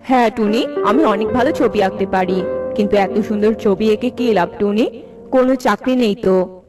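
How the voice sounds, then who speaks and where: A woman's voice: mid-range voice, normal speed, natural voice. A woman voicing a self-pitying, downcast crow in a children's cartoon. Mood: wistful. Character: self-pitying crow, struggling artist and fruit seller. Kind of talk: children's cartoon character dubbing. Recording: music behind the voice.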